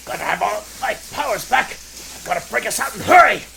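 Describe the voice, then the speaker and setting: A man playing a noble, earnest superhero in a melodramatic, halting 1940s radio serial style. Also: high voice, expressive, creaky, bright, forceful tone, slight background noise, full character voice. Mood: urgent. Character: noble male superhero in his secret identity, earnest.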